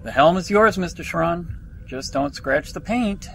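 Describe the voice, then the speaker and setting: A man's voice: fairly high pitch, lively intonation, unhurried, creaky, a bit bright, faint music behind, heavily acted. A man acting as a composed, curious starship captain in a science fiction audio drama, commanding with dry humor.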